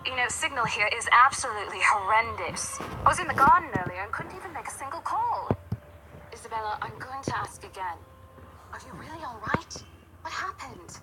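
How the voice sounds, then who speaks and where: Firm, caring senior coworker. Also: female voice, on the high side, big pitch swings, fairly quick, heavy background noise. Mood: concerned. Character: protective, no-nonsense female senior coworker. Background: background game music.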